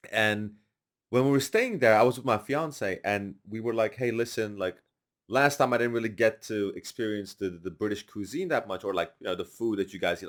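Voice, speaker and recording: A man who grew up in the Netherlands, hosting a podcast and telling stories in a casual, chatty way. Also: lowish voice. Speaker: podcast host.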